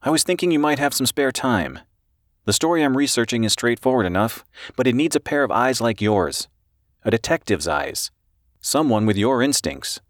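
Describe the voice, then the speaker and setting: Guy doing an anxious reporter in an audiobook, higher voice, hurried and apologetic, super dry sound. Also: big pitch swings, dry recording, close to an everyday voice. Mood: earnest, persuasive. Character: nervous, pleading male newspaper reporter on a phone call. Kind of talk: audiobook character dialogue.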